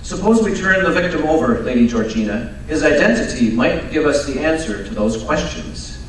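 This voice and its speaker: A man plays a coolly superior radio-play detective with a fairly high voice. He lectures in a measured, pedantic way. The sound is very echoey and distant from the mic, as on a live stage. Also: expressive, slight background noise, natural voice. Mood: brisk, assured. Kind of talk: old-time radio drama acting.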